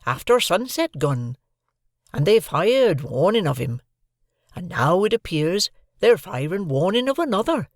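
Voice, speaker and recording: Reader doing a kindly slow man, patient, slightly raised voice, dry sound. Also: medium pitch, sing-song, dry recording, pitched above the performer's own voice. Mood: explaining.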